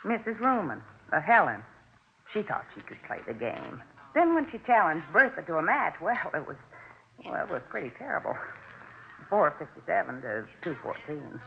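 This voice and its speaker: A folksy, stubborn neighbor in a 1950s radio drama. The voice is on the high side and swings up and down, rambling and insistent. It sounds thin and tinny, like an old radio recording. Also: male voice, sing-song, moderate pace, creaky, slight background noise. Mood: confiding. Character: folksy, stubborn, insistent neighbor worried about a missing friend.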